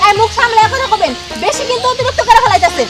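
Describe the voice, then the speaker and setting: A woman's voice: very high voice, very fast, loud music behind the voice. A woman with a Bangladeshi accent playing a snobbish, scornful mother-in-law in a comedy drama, haughty and scolding. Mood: angry scorn. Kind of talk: Bengali comedy drama acting.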